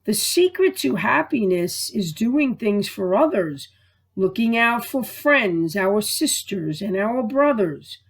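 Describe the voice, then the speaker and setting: A woman voicing a kindly, wise male storybook Easter Bunny with a gentle, measured, moralizing manner. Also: mid-range voice, big pitch swings, slightly rough, heavily acted. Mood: grateful, gentle.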